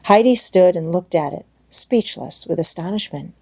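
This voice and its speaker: A woman's voice: fairly low pitch, animated, moderate pace, darkish tone, breathy edge. A woman reading scripted storybook narration as an audiobook narrator.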